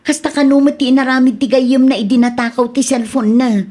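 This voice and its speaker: A woman's voice: high voice, steady tone, fairly quick, darkish tone. A woman playing a worried, insistent wife who questions and presses, with an Ilocano accent, in a radio comedy drama. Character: worried, insistent wife who confronts her evasive friend.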